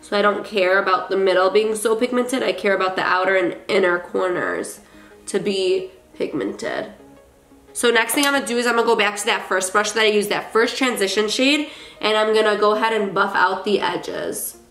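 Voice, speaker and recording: A woman's voice: medium pitch, expressive, moderate pace, fairly bright tone. Young woman, cold-stuffed nasal voice, chatty beauty vlogger, echoey room, faint music. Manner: chatty and gushing, with filler ums. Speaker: makeup artist and beauty vlogger. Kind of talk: casual makeup tutorial chat.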